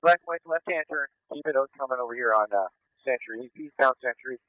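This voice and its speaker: A man on police radio calling out pursuit directions live, halting and clipped, often repeating street directions. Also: mid-range voice, no room sound. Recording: muffled police scanner radio audio.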